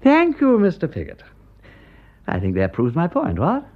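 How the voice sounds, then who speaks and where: An actor playing an aristocratic English gentleman detective in a radio drama, with an upper-class English accent and an urbane, emphatic way of talking. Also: a little high, huge pitch swings, normal speed. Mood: pleased, courteous. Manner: urbane, emphatic, drawling question tags. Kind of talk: British radio drama acting. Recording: dull band-limited radio recording.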